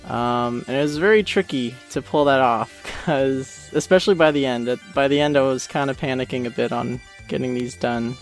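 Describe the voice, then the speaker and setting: A man giving a casual, rambling and self-deprecating commentary on an animated series he made. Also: mid-range voice, moderate pace, few pauses, soft background music. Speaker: creator of an animated parody series, commentary host. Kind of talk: casual commentary track chat.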